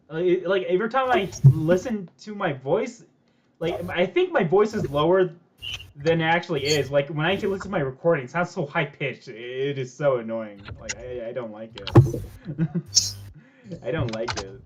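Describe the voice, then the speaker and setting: A man's voice: fairly high pitch, moderate pace, lo-fi sound. Guy hosting a chill stream, rambles and repeats himself, noisy mic with clicks and typing. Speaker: stream host. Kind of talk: casual chat while building on a livestream.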